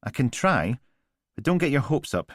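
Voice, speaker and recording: A man's voice: mid-range voice, sing-song, moderate pace, no room sound, clean studio sound, pitched above the performer's own voice. A man voicing a blunt, practical female police officer.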